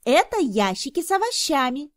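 A woman's voice: high-pitched, sing-song, moderate pace, soft background music. A woman narrating an educational cartoon for small children in an enthusiastic, instructive, carefully enunciated way. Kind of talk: scripted narration for toddlers.

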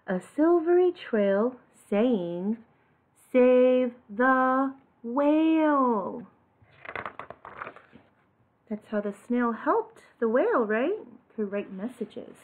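A woman reading a children's picture book aloud like a teacher, with an expressive delivery, recorded at home. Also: fairly high pitch. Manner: expressive, asks listeners questions. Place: living room at home. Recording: dull, phone-like home video audio.

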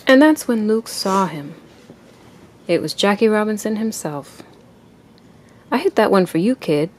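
A woman reading a picture book aloud to a child at home and asking instructive questions in a deliberate way. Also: mid-range voice, a little mellow. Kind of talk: expressive read-aloud and gentle questioning.

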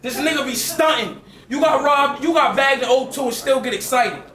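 Young battle rapper, very high voice, shouts and repeats bars on a handheld mic in a roomy, crowded club. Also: male voice, normal speed. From New Jersey. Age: 20s. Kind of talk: rap battle verses. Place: packed indoor club venue.

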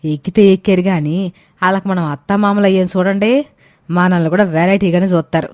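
Woman playing a fretful village mother-in-law, lowish voice. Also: fairly even intonation, a little fast, darkish tone, no room sound, clean studio sound, natural voice. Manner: rustic colloquial speech. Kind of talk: animated moral-story voice acting.

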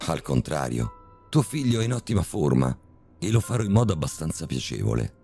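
A man playing a cold, calm, sadistic male surgeon who speaks with polite, mocking menace in a horror story reading. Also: very deep voice, a little fast, gravelly, husky, soft background music, voice pushed up, exaggerated voice. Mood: icy calm.

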